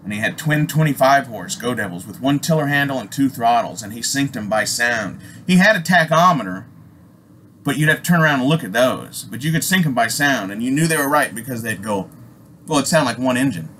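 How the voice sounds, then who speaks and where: A man from Alaska with an American accent telling a personal story casually, in an animated, rambling, slangy way full of swearing. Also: medium pitch, fairly quick. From Alaska, United States. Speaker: storyteller, host. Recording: noisy amateur recording with ambient sound.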